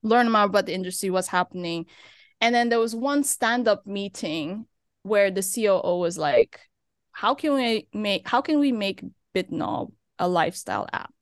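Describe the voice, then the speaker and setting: A woman's voice: mid-range voice, dry recording, clean studio sound. A young woman from Turkey, now in Nigeria, chatting casually as a podcast guest, with many fillers. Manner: chatty, with frequent fillers and laughs. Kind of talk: casual interview chat. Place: living in Nigeria.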